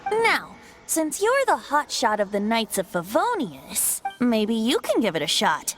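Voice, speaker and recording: A woman's voice: fairly high pitch, wildly swooping pitch, measured pace, a bit bright, slight background noise, heavily acted. A woman playing a playful, theatrical young male bard who is a god in disguise, in a fantasy video game. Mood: wry. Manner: playful, grandiose, cajoling. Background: background music.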